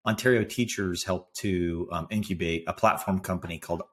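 A man's voice: low voice, steady tone, brisk, fairly bright tone. A man co-hosting a casual podcast about investing, chatty and upbeat, laughing often. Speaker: podcast co-host.

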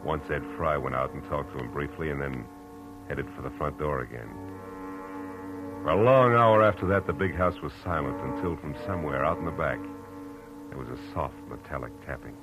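Very deep, hard-boiled private eye. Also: male voice, moderate pace, loud music behind the voice, rough recording. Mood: moody narrating. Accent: American. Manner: clipped, wry, slangy delivery. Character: hard-boiled male private detective, tough and wry. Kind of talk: 1940s radio drama acting and narration.

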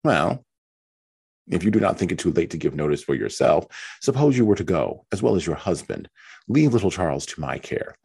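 Man doing a calm sensible young woman, soft and reassuring, slightly raised, husky low voice. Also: brisk, exaggerated voice. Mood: soothing. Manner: gentle and reassuring.

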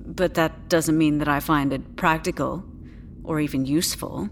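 A futuristic clinic doctor in an audio drama, with a fairly low female voice. She is measured and professional, hesitating politely, with tactful condescension. Faint ambient noise runs behind her. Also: fairly even intonation. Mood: politely dismissive.

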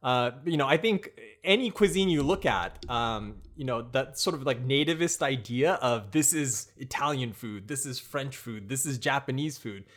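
A man's voice: medium pitch, animated, moderate pace, few pauses, fairly bright tone. A man, a guest on a podcast, giving long, thoughtful answers about cooking in a casual chat. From United States. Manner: thoughtful, rambling explanations. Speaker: guest, Japanese cooking teacher.

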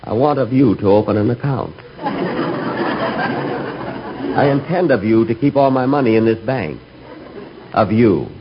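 An actor playing an earnest, nervous, naively odd bank customer in a 1940s radio comedy. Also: male voice, mid-range voice, lively intonation, moderate pace, natural voice. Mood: stubborn, flustered. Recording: very noisy, muffled 1940s radio recording.

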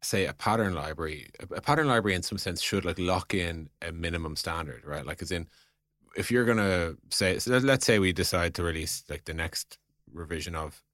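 A man's voice: deep voice, lively intonation, fairly quick, a bit bright, no echo, clean studio sound. A man co-hosting a business podcast, leading with questions and reasoning aloud in an informal, probing way. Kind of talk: casual business podcast conversation.

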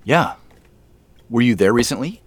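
A man's voice: mid-range voice, animated, normal speed, no echo, lightly acted. An actor playing an awkward, modest businessman in his late thirties in an audio drama, hesitant and self-deprecating. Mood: careful, concerned. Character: awkward, modest late-thirties man running a tech company. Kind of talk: audio drama dinner dialogue.

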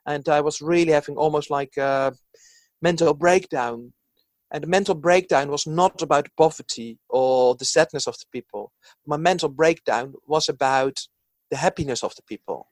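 A man's voice: a little high, normal speed, dry recording. Dutch-accented man, earnest and reflective. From Netherlands. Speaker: psychologist guest. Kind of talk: reflective interview talk.

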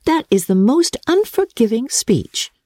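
A woman voicing a wry, affectionate, playful young Regency gentlewoman with a teasing, witty manner in an audiobook. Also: on the high side, big pitch swings, a little slow, no echo, clean studio sound, voice pushed up.

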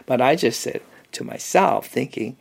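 A man's voice: mid-range voice, lively intonation, moderate pace, creaky. A man in his seventies from Hawaii, speaking reflectively in an interview, laughing easily. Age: 70s. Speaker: interviewee, language teacher. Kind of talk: casual interview.

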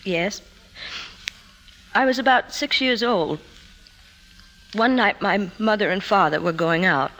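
An actress playing a troubled woman confessing painful memories in an emotive, halting way, in 1950s radio drama style. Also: medium pitch, fairly bright tone, poor audio. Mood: troubled, remembering. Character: troubled career woman confiding painful childhood memories to her doctor. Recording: 1950s radio broadcast, music behind.

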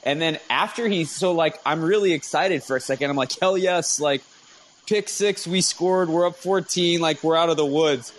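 A man hosting a casual sports chat, recalling things excitedly and correcting himself as he goes. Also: a little high, moderate pace. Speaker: sports podcast host. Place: remote group call on a live audio app. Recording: faint ambient background noise.

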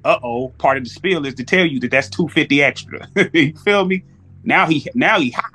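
A man's voice: fairly high pitch, moderate pace, bright, forceful tone. A man chatting casually as a podcast guest, telling anecdotes in slangy speech and acting out both sides of exchanges.

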